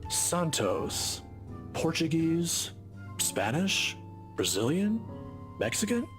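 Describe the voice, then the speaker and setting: An actor playing a genial, courteous older male professor in a visual novel game, talkative and gently teasing. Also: fairly high pitch, very animated, takes it slowly. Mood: courteous, curious. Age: 50s to 60s. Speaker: video game character. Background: guitar music.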